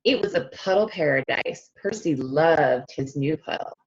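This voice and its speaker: A woman reading a picture book aloud to children, expressive and stretching key words, often stopping to ask them questions directly, on a slightly dull lo-fi recording. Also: deep voice, moderate pace. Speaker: speech-language pathologist reading to children. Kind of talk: animated children's read-aloud with questions to listeners.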